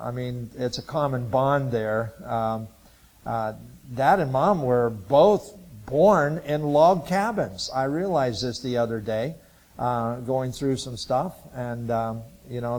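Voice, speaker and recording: A man in his sixties, raised in Ohio, talks to a church gathering about his work teaching future missionaries. His delivery is halting and rambling, full of filler sounds and restarts. His voice sits at an ordinary middle pitch for a man. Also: a little mellow, low-quality recording. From Ohio, USA. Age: 60s. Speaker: Bible college missions teacher. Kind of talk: informal testimony talk to a church group.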